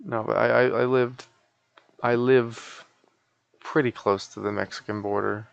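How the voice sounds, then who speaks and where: A man's voice: mid-range voice, steady tone, unhurried, gravelly, dull audio. A sleepy man chatting casually and in a rambling, folksy way as he live-streams a game.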